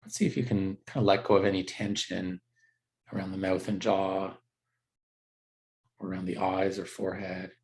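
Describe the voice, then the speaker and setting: A man teaching a guided meditation in a gentle, unhurried, conversational way with halting pauses. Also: sits low, steady tone.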